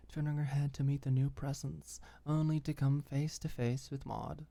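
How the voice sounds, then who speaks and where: Dark, breathy woman narrating intimate fiction. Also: rumbling low, dry recording. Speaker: audiobook narrator. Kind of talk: scripted ASMR fiction narration.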